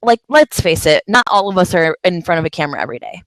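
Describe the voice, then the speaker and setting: A woman's voice: fairly low pitch, sing-song, fast talker, fairly bright tone, no room sound. A woman from the San Francisco area hosting a casual video chat, talking in loose, rambling sentences full of fillers. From San Francisco Bay Area. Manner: rambling, many fillers and restarts. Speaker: host of a live video chat.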